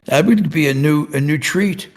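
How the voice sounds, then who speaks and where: A man answering fan questions in a casual voice chat, slow and hesitant with many restarts. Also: a little high. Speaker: game studio representative answering questions.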